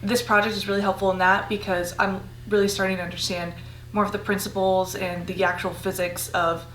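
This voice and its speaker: A woman in her twenties, a graduate student from Costa Rica, answering interview questions in a relaxed way with many fillers. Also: mid-range voice, brisk. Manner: easygoing, many fillers and likes. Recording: echoey room with faint hum.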